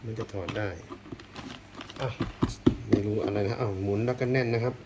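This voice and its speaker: A man's voice: fairly low pitch, fairly even intonation, normal speed. A man giving casual hands-on unboxing commentary, thinking aloud as he explores, with polite phrasing. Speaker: unboxing video host. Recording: clear background noise, rustling and clicking.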